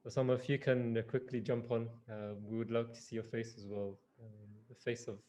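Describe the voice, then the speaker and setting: A man hosts an online meeting and brings in the next speaker. He talks casually, stops often on fillers, and laughs along. His voice is of middle pitch. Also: level tone, a little fast, dark tone. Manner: hesitant, filler-heavy, laughing. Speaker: host. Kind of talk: casual introduction on online call.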